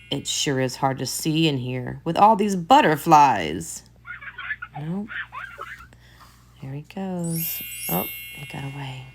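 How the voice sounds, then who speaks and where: Slow, expressive teacher reading a picture book. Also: female voice, low voice, a little hiss or hum. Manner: expressive, drops to hushed whispered asides. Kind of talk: children's book read-aloud.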